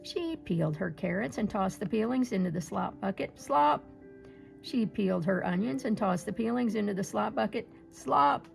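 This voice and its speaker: A woman storyteller reading a picture-book folktale aloud in an expressive way. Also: mid-range voice, creaky.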